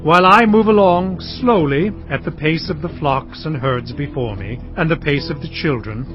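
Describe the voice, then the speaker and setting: A man's voice: on the high side, normal speed, close to an everyday voice. A man playing a humble, courteous herdsman in a dramatized Bible, speaking in a deferential, earnest way. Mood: deferential pleading. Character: humble, courteous herdsman father placating his brother. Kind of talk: dramatized audio Bible acting. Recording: dull sound, light music behind.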